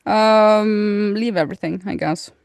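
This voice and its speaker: A woman's voice: medium pitch, a little slow, vocal fry, darkish tone, clear, resonant tone, dry recording. A woman co-hosting a podcast in casual chat, informal and a little hesitant, often murmuring agreement. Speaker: podcast co-host.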